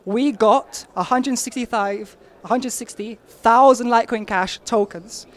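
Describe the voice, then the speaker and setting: Man, young adult, high-pitched, insistent, casual interview. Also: measured pace. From Albania, raised in London. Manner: eager, insistent, emphatic claims. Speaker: marketing lead, interviewee.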